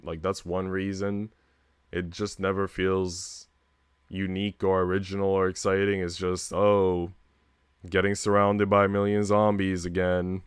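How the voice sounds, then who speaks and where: A man's voice: low voice, fairly bright tone, smooth, no echo, studio quality. A man talking casually on a livestream, rambling along in slangy speech and swearing freely. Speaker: streamer reading a visual novel aloud.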